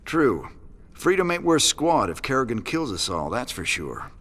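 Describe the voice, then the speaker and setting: A man's voice: medium pitch, big pitch swings, normal speed, a bit bright. An actor playing a weary, plainspoken male rebel commander with a laid-back drawl.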